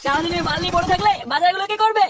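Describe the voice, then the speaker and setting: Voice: very high voice, racing, noisy background. পিচ বাড়ানো গলায় একজন অভিনয়শিল্পী কার্টুন কমেডির এক বদমেজাজি, গালিবাজ মাঝবয়সি বাবার চরিত্র করছে।. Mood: angry scolding. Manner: scolding, blustering, crude. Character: irascible foul-mouthed middle-aged village father. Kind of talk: exaggerated cartoon comedy dialogue. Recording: distant sound with music behind.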